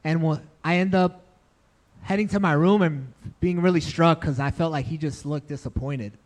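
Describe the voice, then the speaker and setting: A man's voice: fairly high pitch, moderate pace. Chatty young man telling his story. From Dominican Republic, raised in New York City. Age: young adult. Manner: relaxed, chatty, laughs easily, many fillers. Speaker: church resident speaker. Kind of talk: casual personal testimony to a congregation. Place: barn used as a church hall, rain outside.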